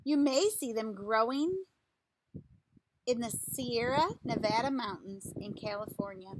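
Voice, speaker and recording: A woman reading a picture book aloud like a teacher, in a deliberate, instructive way, putting questions to young listeners. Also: high-pitched, background noise.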